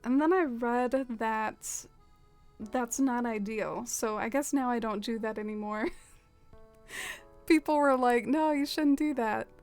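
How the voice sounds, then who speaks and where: A woman's voice: on the high side, unhurried, darkish tone, faint music behind. A young woman from the American South with a Southern accent, chatting casually and self-deprecatingly while streaming a game. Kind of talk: casual live-stream chat while gaming.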